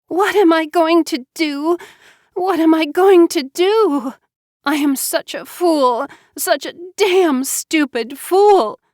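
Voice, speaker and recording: A woman's voice: very high voice, slow, darkish tone, no echo, studio quality, pitched above the performer's own voice. A woman voicing a distraught young woman who is crying and screaming in despair.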